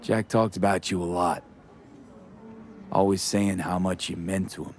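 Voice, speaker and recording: A man playing a guarded but earnest young street mercenary mourning a friend in a video game, low-key and clipped. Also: steady tone, measured pace, darkish tone, slight background noise. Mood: gentle, concerned. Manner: low-key, clipped sentences dropping first words. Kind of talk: cinematic video game acting.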